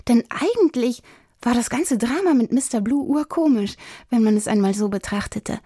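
A woman's voice: fairly high pitch, animated, moderate pace, mellow, breathy edge, no echo, studio quality. A woman reading scripted narration as the storyteller of a children's audio story.

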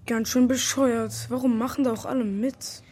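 A woman's voice: a little high, fairly even intonation, normal speed, a little mellow, natural voice. A woman playing a teasing, casual kid among friends in a children's radio play, using youth slang. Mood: cool.

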